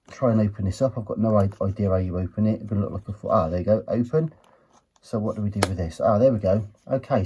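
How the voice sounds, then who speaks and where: Husky, mellow-voiced British man. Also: fairly low pitch. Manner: chatty, thinking aloud. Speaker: host of a retro electronics teardown video. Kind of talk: casual hands-on commentary while examining a gadget.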